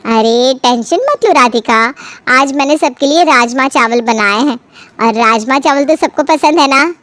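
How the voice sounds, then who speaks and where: A woman voicing a calm young daughter-in-law in a cartoon family story, patiently explaining and placating. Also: high voice, moderate pace, no room sound, voice pushed down. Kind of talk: animated family story dialogue.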